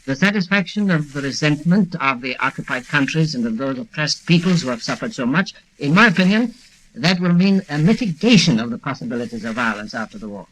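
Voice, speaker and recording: A man's voice: fairly high pitch, expressive, a little fast, fairly bright tone, slight background noise, poor audio. A man debating earnestly and formally as a panelist on a 1940s radio broadcast.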